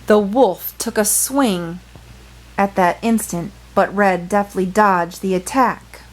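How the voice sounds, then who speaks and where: Slow-paced woman reading a children's story. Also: mid-range voice, low-quality recording. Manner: playful, dramatic. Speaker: storyteller reading a picture book aloud. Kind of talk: expressive children's read-aloud with brief asides.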